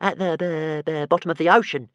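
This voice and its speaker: A man's voice: fairly high pitch, fairly even intonation, fairly quick, smooth, no room sound, pitched above the performer's own voice, close to an everyday voice. A man voicing a small, sly male dragon who stutters and repeats words. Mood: matter-of-fact.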